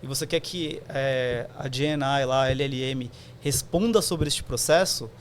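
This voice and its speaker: A man's voice: mid-range voice, unhurried, slight background noise. A man, a podcast guest explaining technical concepts conversationally, with many fillers.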